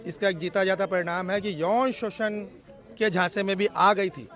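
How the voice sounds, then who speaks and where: Man, high voice, earnest activist statement, emphatic repetition, outdoor news interview, crowd murmur. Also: steady tone, normal speed, a bit bright. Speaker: activist spokesman. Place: outdoors near a police station.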